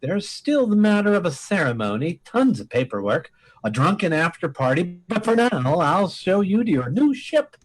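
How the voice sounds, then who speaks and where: A man plays a space admiral in a comic radio play, high in pitch and swinging emphatically from word to word. He is folksy, commanding and full of bluster. There is noticeable noise on the recording. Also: big pitch swings, moderate pace, poor audio. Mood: jovial, brisk. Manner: brassy, emphatic military bluster. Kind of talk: comic old-time-radio-style acting.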